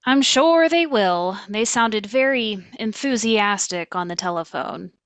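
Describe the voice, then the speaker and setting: Woman acting as polite dry receptionist lady, really slow and smooth, close dry sound, audio drama. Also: medium pitch, animated, fairly bright tone, no echo. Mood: deferential. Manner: measured, courteous phone-desk delivery. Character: polite, dryly efficient female manor receptionist.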